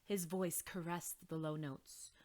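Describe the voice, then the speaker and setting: A woman reading a children's picture book aloud in an expressive storytelling manner. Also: on the low side, takes it slowly. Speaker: read-along reader.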